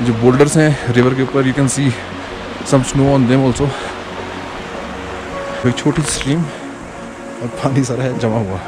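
A man's voice: mid-range voice, steady tone, takes it slowly, pauses often, rough recording. Man vlogging outdoors in the snow. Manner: chatty, mixes English into speech, reflective at times. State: out of breath from walking at altitude. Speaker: travel vlogger. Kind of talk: casual travel vlog narration. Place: outdoors in a snowy mountain valley. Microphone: action camera held close. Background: ambient music.

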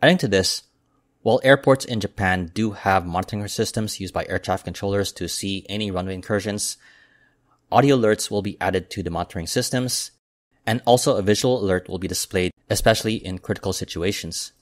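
A man hosting an aviation news channel, reading a scripted report in a steady, measured way as a voice-over. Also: fairly low pitch, no echo, clean studio sound. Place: voice-over, not recorded in the pictured airport.

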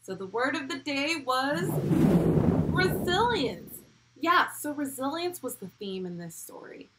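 A young woman hosting a children's lesson, speaking directly to the child with animated, encouraging praise. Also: on the high side, poor audio. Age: young adult. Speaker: children's read-along host and school speech therapist. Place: small furnished room at home, indoors.

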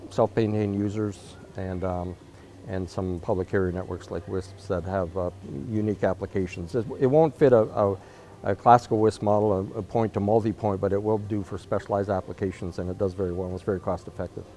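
Middle-aged guy doing a product demo, lowish relaxed voice, hesitant and explanatory. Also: a little fast. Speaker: product marketer demonstrating equipment. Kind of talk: unscripted product demonstration and interview answers. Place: indoor trade event interview spot.

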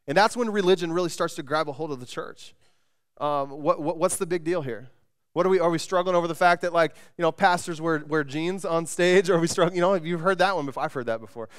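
A man's voice: on the high side, fast talker, clear, resonant tone. A man in his thirties preaching a sermon from a church stage, conversational, often repeating words and restarting sentences. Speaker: pastor. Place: church stage with band gear, indoors.

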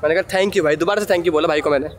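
A man's voice: fairly high pitch, very fast. A man in his thirties casually narrating a travel vlog outdoors into a handheld camera, chatty and joking with his companions. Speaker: travel vlogger. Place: outdoors on a sunny beach and street.

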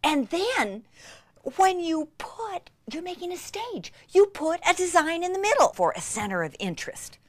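Excitable woman crafting instructor, swooping intonation. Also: very high-pitched, moderate pace, no room sound. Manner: excitable, gushing, with breathy gasps and exclamations. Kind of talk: enthusiastic hands-on craft demonstration.

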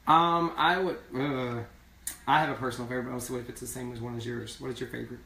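Hoarse man chatting on a live stream. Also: medium pitch, fairly even intonation, quick pace, pushed and bright, slight background noise. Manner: chatty, rambling, reads viewer comments aloud. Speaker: singing duo member hosting a live stream. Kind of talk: casual live-stream chat. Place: small indoor room. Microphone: phone camera at a distance. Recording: echoey room, from a distance.

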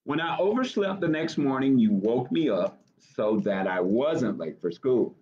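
An earnest young storybook cat voiced by a man speaks with heartfelt gratitude. His pitch lilts up and down in unhurried phrases. The webcam sound is a little dull. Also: animated, close to an everyday voice. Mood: grateful. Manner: earnest, heartfelt reading-aloud delivery. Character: earnest, grateful young male cartoon cat.

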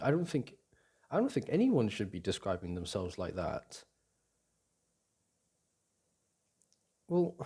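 A young man playing a caring, reassuring boyfriend in an intimate ASMR roleplay, speaking gently and haltingly with sighs and restarts. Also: mid-range voice, slightly rough. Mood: earnest, insistent. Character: caring, reassuring boyfriend comforting his upset partner. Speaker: audio roleplay performer.

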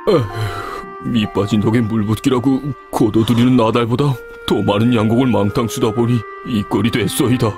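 A man's voice: mid-range voice, fairly even intonation, moderate pace, few pauses, close to an everyday voice. Man acting rueful North Korean eldest son. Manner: sighing, rueful. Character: weary, sheepish eldest son, a failed farmer. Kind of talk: animated folk-tale acting. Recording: music behind the voice.

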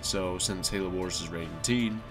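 A man's voice: low-pitched, unhurried. A young man with a cold, sounding nasal and stuffy, chatting casually over gameplay with lots of ums and restarts. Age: young adult. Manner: rambling, with many fillers and restarts. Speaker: YouTube gamer. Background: game music and sounds.

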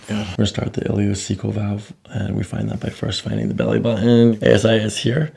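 A middle-aged man working as a chiropractor, giving calm, short, soothing instructions during an ASMR treatment. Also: on the low side, fairly quick, gravelly. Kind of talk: hands-on treatment talk, ASMR. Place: small office treatment room. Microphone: microphone on a boom nearby.

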